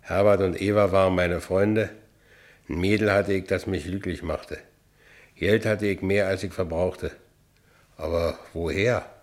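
A man's voice: low-pitched, fairly even intonation, a little slow. A man playing a battered, streetwise ex-convict telling his own story colloquially in a radio drama. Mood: contented, wistful. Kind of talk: first-person radio drama narration.

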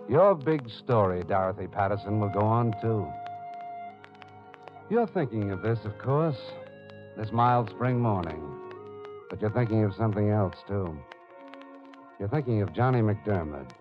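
Casting: solemn editor-storyteller, lowish voice, measured vintage radio drama, music behind. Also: male voice. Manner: measured, dramatic second-person delivery. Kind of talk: old-time radio drama narration. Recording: muffled old radio recording, music behind.

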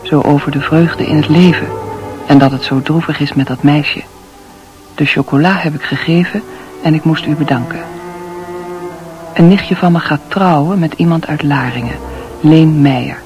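A woman's voice: sits low, moderate pace, pauses often, highs cut off, music in the background, natural voice. Actress as modest, plain-spoken hospital nurse, a woman. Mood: reserved, friendly. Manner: polite, simple, matter-of-fact. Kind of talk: Dutch radio play acting.

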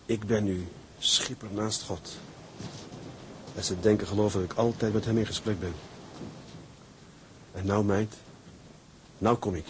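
A man's voice: on the low side, fairly even intonation, measured pace, long pauses, lightly acted. A man acting a brooding, plain-spoken ship's captain, tender toward his wife, in a radio drama. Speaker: actor. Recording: noisy radio play with background ambience.

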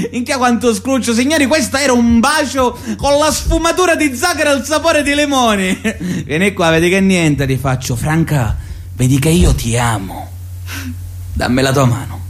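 A man's voice: very high voice, expressive, moderate pace, fairly bright tone. An actor playing a pushy, lovestruck young man with a Sicilian accent in a radio play, colloquial and theatrical. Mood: teasing, excited, then coaxing, insistent. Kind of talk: radio theatre monologue and dialogue. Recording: acoustic guitar music behind.

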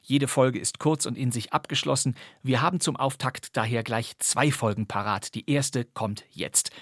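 A man's voice: medium pitch, no room sound, studio quality. A man introducing a crime radio play on a podcast with a wry, friendly tone. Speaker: podcast host. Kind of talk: scripted introduction.

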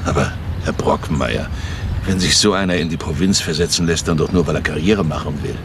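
An actor playing a guarded, irritable company boss in a radio crime drama, curt, evasive and self-satisfied. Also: male voice, very deep voice, brisk, background noise. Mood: smug, guarded. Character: guarded, smug male company boss and local politician, irritable.

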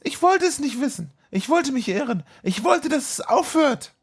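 A man's voice: extremely high pitch, very animated, moderate pace, voice pushed up, heavily acted. A man voicing a troubled, sarcastic young woman in a comic audio play, with a dramatic, incredulous manner. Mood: guilt-ridden.

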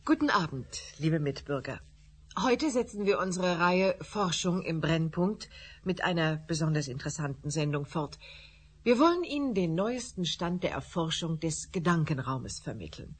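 A husky, formal TV science host. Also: female voice, sits low, big pitch swings, normal speed, exaggerated voice. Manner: formal, courteous interviewer tone. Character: woman TV science show host, formal and polite. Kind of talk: radio drama acting.

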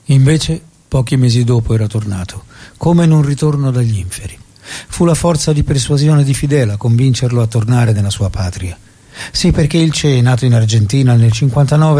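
Solemn male storyteller, played by an actor: dark, husky tone, measured theatrical delivery over music. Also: medium pitch, natural voice. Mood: grave. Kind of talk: dramatic scripted stage narration. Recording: music behind the voice.

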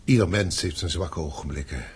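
Deferential estate overseer, lowish really rough breathy voice, measured, radio drama. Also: male voice. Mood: polite. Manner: respectful, measured. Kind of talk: radio play acting.

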